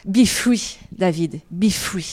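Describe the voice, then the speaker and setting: A woman's voice: medium pitch, extremely slow. A woman with a French accent, a native French speaker, giving personal, prayer-like encouragement in church and searching for words in English.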